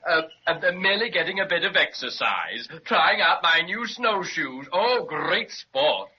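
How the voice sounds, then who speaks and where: An actor playing a sly, cowardly patchwork dragon who wheedles and flusters in a 1930s radio serial. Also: male voice, high-pitched, very animated, unhurried, slight background noise, heavily acted. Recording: muffled old radio recording.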